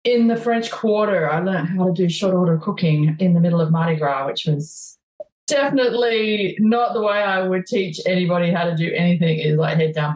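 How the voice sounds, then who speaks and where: A woman's voice: low-pitched, a little mellow, reverberant, rough recording. A woman with a broad Australian accent, chatting as a guest and telling rambling stories full of ums. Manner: rambling storyteller, laughs easily, many ums. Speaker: guest musician. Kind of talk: casual conversation.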